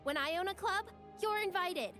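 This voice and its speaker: A woman's voice: extremely high pitch, moderate pace, bright, forceful tone, faint music behind. An actress playing a cheerful, kind young female pop star in a video game, with a bubbly, earnest way of talking. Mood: playful, upbeat. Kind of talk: game voice lines.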